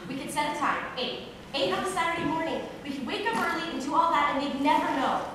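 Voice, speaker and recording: A woman's voice: high voice, lively intonation, fast talker, fairly bright tone. A woman playing an exasperated, pleading teenage girl in an amateur stage play, protesting dramatically. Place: stage performance in a large hall. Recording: echoey hall, distant mic, clear noise.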